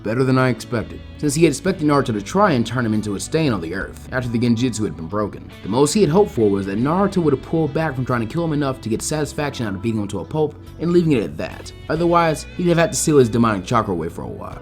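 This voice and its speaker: A man's voice: mid-range voice, very animated, very fast, few pauses, soft background music. A man reading a scripted story aloud as a fan fiction narrator.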